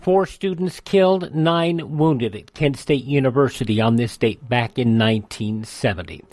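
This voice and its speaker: An American man reads scripted narration in the manner of a news segment. His voice sits at a medium pitch, and the sound is dry and close with no room on it. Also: moderate pace, darkish tone, dry recording. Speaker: radio history segment narrator.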